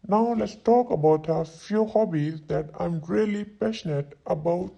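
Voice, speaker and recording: A man in his twenties voicing a young London solicitor who introduces himself, saying each sentence twice slowly for learners to repeat. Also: high-pitched, mellow, rough recording. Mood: plain. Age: 20s. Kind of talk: slow repeat-after-me drill reading.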